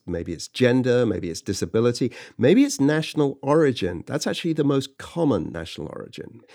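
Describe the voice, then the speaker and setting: A man hosting a podcast, narrating and chatting in a measured, conversational way. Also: medium pitch, mellow. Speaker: podcast host. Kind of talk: scripted narration and chat.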